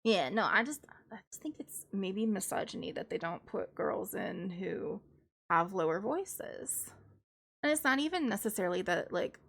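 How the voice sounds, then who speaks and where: A woman's voice: mid-range voice, lively intonation, moderate pace, creaky, a bit bright. Young woman, classical singer streaming, mid-range voice, rambling analytical reaction chat, a touch nasal. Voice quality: slightly nasal at times. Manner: thinks aloud, opinionated, analytical about singing. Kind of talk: casual live-stream reaction commentary.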